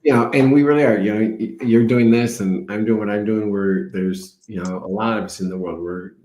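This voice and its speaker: An older man, a spiritual teacher, talking calmly and reflectively, explaining ideas with everyday examples. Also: fairly low pitch, a little fast. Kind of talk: reflective talk. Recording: roomy echo.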